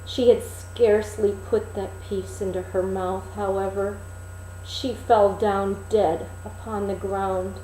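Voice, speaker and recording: A woman reading a fairy tale as a storyteller, with deliberate, dramatic phrasing and pauses. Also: medium pitch, little pitch movement, mellow. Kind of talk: expressive, measured storybook reading. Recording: roomy echo, faint background noise.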